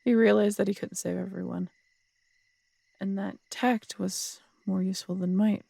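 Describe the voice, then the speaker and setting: A woman playing a tough, strong-headed city detective in an intimate audio roleplay, husky and gravelly, telling things in a wry, unhurried, conversational way. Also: mid-range voice, steady tone, mellow, no echo. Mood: reflective. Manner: wry, unhurried storytelling with casual swearing.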